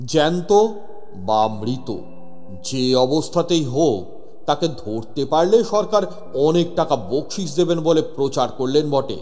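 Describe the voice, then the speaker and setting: A man narrating a scripted horror story as a police inspector, theatrical and suspenseful with measured pauses. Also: fairly high pitch, lively intonation, a little mellow. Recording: long reverb over music bed. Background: music.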